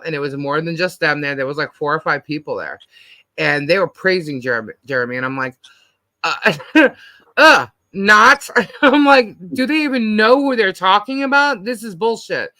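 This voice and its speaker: A middle-aged American woman with a deep husky voice, chatting casually and bluntly as a live stream host, telling rambling anecdotes in slangy speech. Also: lively intonation, moderate pace, bright, forceful tone, no echo. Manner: blunt, slangy, animatedly quotes herself and others.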